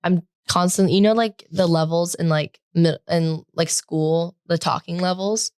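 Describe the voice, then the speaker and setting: A teenage girl co-hosting a podcast in casual chat, talking loudly and rambling with lots of "like" fillers. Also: lowish voice, steady tone, measured pace, clean tone, no room sound, studio quality. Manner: loud, projecting, rambling with many "like" fillers. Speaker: podcast co-host.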